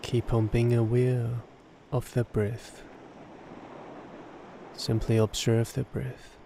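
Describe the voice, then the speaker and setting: A man guiding a meditation, giving measured instructions in short phrases with deliberate pauses. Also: mid-range voice, level tone, creaky, background noise. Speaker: meditation guide. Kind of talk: guided meditation narration.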